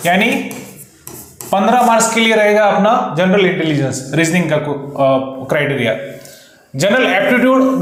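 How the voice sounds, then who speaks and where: A man's voice: sits high, a bit bright. A man with an Indian accent teaching an online exam lesson, explaining in an instructional way and mixing in English terms. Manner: instructional, mixes Hindi with English terms, frequent check-in tags. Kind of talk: explanatory online lesson, reading out syllabus lists. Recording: very echoey, reverberant room.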